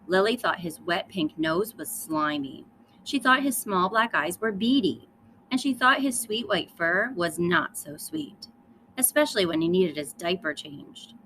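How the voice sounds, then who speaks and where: A woman reads a children's story aloud as a schoolteacher would. She speaks to young listeners with emphatic storytelling and a lively, varied pitch. Faint noise is audible behind her. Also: unhurried, rough recording. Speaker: third grade teacher reading aloud.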